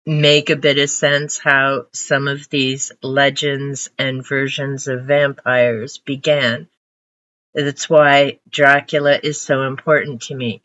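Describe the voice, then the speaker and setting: A woman's voice: deep voice, fairly bright tone. An older woman whose first language is Hungarian, reflecting on her favourite books in a casual vlog to camera. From Canada. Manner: reflective, unhurried storytelling. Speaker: book vlogger. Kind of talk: casual book talk to camera. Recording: muffled lo-fi audio.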